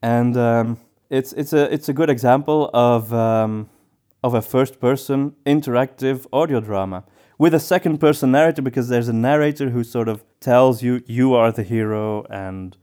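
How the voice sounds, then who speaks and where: A man's voice: medium pitch, steady tone, moderate pace, dark tone, smooth. A man hosting a podcast, talking casually in a hesitant, conversational way. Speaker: podcast host.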